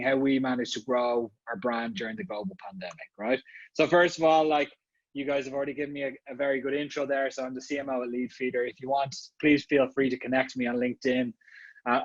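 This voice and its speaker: A man's voice: mid-range voice, no echo. Chatty Irish male guest on a muffled, dry video-call line, full of fillers. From Ireland. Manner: chatty, many fillers and restarts. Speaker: guest speaker, marketing executive. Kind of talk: casual online summit talk.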